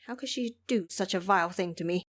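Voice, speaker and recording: A woman's voice: fairly low pitch, brisk, fairly bright tone, no room sound, clean studio sound, close to an everyday voice. A woman voicing a rebellious, hot-tempered male monkey disciple in an audio storybook drama, exclaiming and pleading. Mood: enraged.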